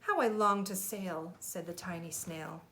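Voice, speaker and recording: A woman voicing a tiny, plucky female sea snail full of yearning, in an expressive storybook delivery. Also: fairly low pitch, a little slow, a little hiss or hum. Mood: wistful longing.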